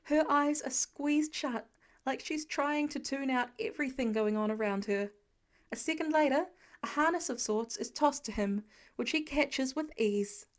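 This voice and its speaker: A woman's voice: high-pitched, unhurried. A woman reading scripted story narration as an audiobook-style narrator. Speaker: podfic narrator.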